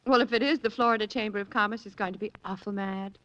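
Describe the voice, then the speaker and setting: An actress playing a breezy, wisecracking newspaper society editor in a 1940s radio drama, with a wry, teasing manner. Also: female voice, medium pitch, steady tone, fast, a bit bright, close to an everyday voice. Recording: dull vintage radio recording.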